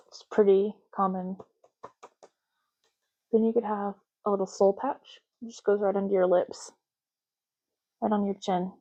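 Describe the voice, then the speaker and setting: A woman speaks softly in an ASMR roleplay style, with a dark, relaxed tone at a medium pitch. She rambles gently and takes her time, addressing the listener one to one. The recording is a little dull and muffled. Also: vocal fry. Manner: unhurried, rambling, talking intimately to the listener. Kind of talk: soft-spoken ASMR roleplay.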